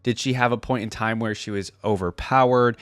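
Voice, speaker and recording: A man's voice: fairly low pitch, steady tone, fairly quick, a bit bright, no echo. A man doing casual, chatty reaction commentary, reading aloud and commenting informally to his viewers.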